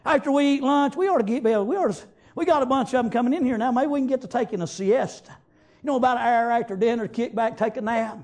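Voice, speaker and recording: High-pitched Southern US preacher man. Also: quick pace, darkish tone. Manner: folksy, exclamatory, repeats praise God and amen as punctuation. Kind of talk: fervent extemporaneous sermon with Bible reading and retelling.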